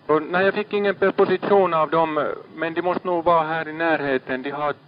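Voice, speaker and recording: A man's voice: on the high side, steady tone. A man working as a ship's radio operator in maritime distress traffic, procedural and confirming details, heard on a lo-fi radio recording. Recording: dull lo-fi marine radio recording.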